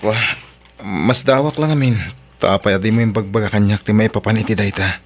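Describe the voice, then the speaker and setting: Male actor as long-suffering young lover, low voice, Ilocano accent, dutiful son, radio drama. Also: a little fast, a little hiss or hum, close to an everyday voice. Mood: earnest, gentle.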